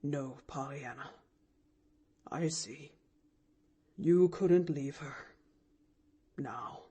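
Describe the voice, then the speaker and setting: A woman reading an audiobook, voicing a lonely older man who speaks gently, low and heavy with emotion. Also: extremely slow, husky, exaggerated voice. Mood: hurt. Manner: gentle, low, deep with emotion, sometimes near a whisper.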